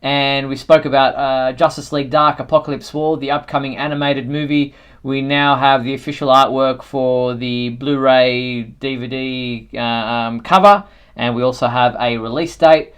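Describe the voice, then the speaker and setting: A man hosts a casual live news chat for fans. His medium-pitched voice moves haltingly through the items, with plenty of fillers and a conversational feel. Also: steady tone, fairly bright tone, smooth. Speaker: show host.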